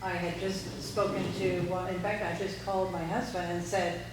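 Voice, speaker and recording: An American woman, middle-aged or older, telling a personal recollection to a group in measured steps. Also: on the low side, level tone. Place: echoey meeting room. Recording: very echoey, distant, noisy room.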